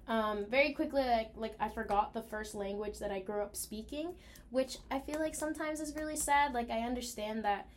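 A woman's voice: a little high, slight background noise. A young woman talking casually and reflectively about herself, in an informal way full of "like". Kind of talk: casual personal conversation.

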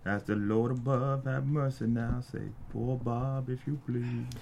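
A man's voice: medium pitch, fairly even intonation, a little slow, creaky, a little mellow, slight background noise. A man playing a sinister, haunted old bluesman, at a screenplay table read. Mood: mournful singing.

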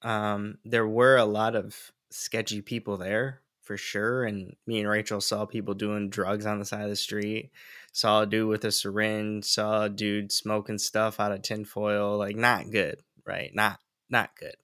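A young man hosting his own podcast, talking alone in a relaxed, rambling way with lots of ums and likes. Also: lowish voice, unhurried, dry recording, clean studio sound. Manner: relaxed, rambling, many fillers. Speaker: podcast host. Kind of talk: casual solo podcast monologue.

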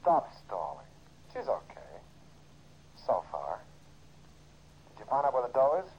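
An actor playing a suspicious, curt gangster with slangy American talk, making demands in old-time radio drama. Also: male voice, mid-range voice, huge pitch swings, unhurried, husky, exaggerated voice. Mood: menacing. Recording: muffled, hissy old radio recording.

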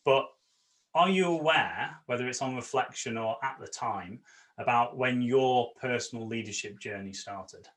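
Bright, upbeat male podcast host. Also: medium pitch, moderate pace. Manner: upbeat, enthusiastic. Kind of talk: podcast interview.

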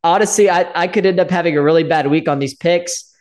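A man's voice: a little high, fast talker, a little mellow. A man co-hosting a casual sports talk show, congested from allergies and hesitant, often restarting his sentences. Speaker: podcast co-host.

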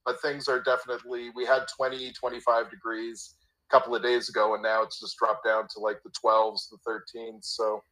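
A man's voice: mid-range voice, completely flat delivery, brisk, pushed and bright, low-quality recording. A Canadian man in his fifties with a slight cold, talking as a guest on a remote interview, eager and often repeating words as he starts a thought. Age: 50s. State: has a cold, a bit raspy.

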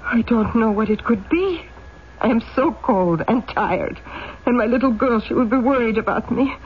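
A woman's voice: on the high side, expressive, normal speed, close to an everyday voice. An actress playing a poor young widowed mother, defiant and pleading, in an old-time radio drama. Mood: pleading, desperate. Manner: plaintive, indignant. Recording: dull, noisy old radio recording.

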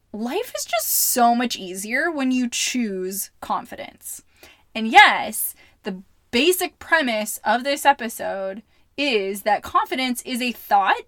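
A woman hosting a solo podcast as a life coach, upbeat and chatty, her voice slightly hoarse. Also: on the high side, fairly bright tone, no room sound, clean studio sound.

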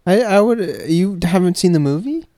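A man's voice: sits high, expressive, a little fast, dark tone, dry recording, clean studio sound. A young man co-hosting a podcast, laid-back and teasing in loose slangy chatter. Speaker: podcast co-host. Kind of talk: casual podcast banter.

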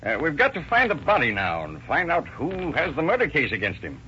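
A brisk, commanding police chief. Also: male voice, fairly high pitch, huge pitch swings, sharp tone, exaggerated voice. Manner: brisk, commanding, summing things up. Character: authoritative police chief running a meeting. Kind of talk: 1930s radio drama acting. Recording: dull old radio recording with hiss.

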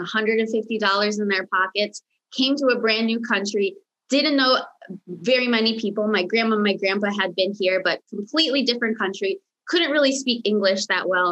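A young American woman, Romanian as first language, telling her story as a podcast guest with warm enthusiasm. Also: medium pitch, a little fast, lo-fi sound. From United States. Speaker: podcast guest, mindset coach. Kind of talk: casual interview chat. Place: home in Los Angeles, remote call.

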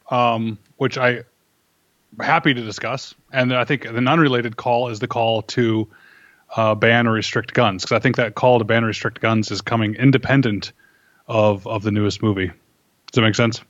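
A man being interviewed as a firearms instructor, explaining his opinions in a measured, argumentative way and posing rhetorical questions. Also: medium pitch, fairly even intonation, a bit bright, no echo, dull audio.

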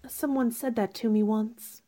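A woman playing a proud, sharp-tongued young gentlewoman in a radio drama, with formal, cool, dramatic delivery. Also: a little high, fairly even intonation, unhurried, lightly acted. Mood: wistful, guarded.

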